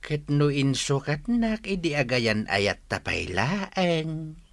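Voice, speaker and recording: Bright, wildly swooping village man, acted by a man. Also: on the high side, very animated, slow, full character voice. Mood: eager. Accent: Ilocano. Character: teasing, excitable village man courting old flame. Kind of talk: Ilocano radio comedy drama.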